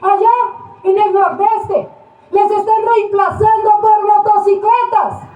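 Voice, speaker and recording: An indignant she-donkey activist denounces solemnly in theatrical slogans. The voice is piercingly high and stays on one insistent level, delivered slowly. The stage recording is boxy. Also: reverberant, lo-fi sound. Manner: declamatory, solemn, slogan-like protest oratory. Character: indignant female donkey activist making a public denunciation. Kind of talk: theatrical protest speech.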